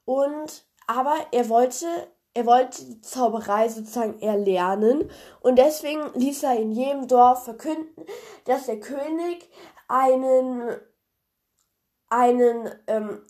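A woman's voice: a little high, measured pace, darkish tone. A young woman hosting a podcast, chatty and rambling with many fillers, her voice nasal and congested from a cold as she retells a fairy tale. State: stuffy cold. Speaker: podcast host.

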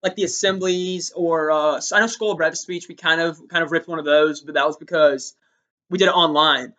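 A loud eighteen-year-old man with a drawl, co-hosting a school podcast in rambling casual chat. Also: fairly high pitch, steady tone, a little fast, muffled recording. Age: young adult. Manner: loud, rambling, self-interrupting. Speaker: student podcast co-host.

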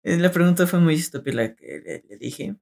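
A young man co-hosts a casual podcast. He often turns to address the listeners directly and shares advice in a relaxed, conversational way. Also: a little high, moderate pace, gravelly. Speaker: podcast co-host.

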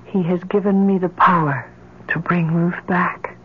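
A woman playing a distraught, obsessive grieving mother in an old-time radio drama, pleading fervently with a husky voice. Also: lowish voice, steady tone, a little slow, narrow, tinny audio, slight background noise, lightly acted. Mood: hushed, secretive. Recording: vintage radio recording.

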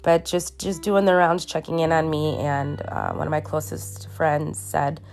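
Tired, congested woman with a low voice. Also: steady tone, vocal fry, darkish tone, soft background music. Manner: slow, halting, with many restarts and ums. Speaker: podcast host. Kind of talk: casual solo podcast monologue. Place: her bedroom at home.

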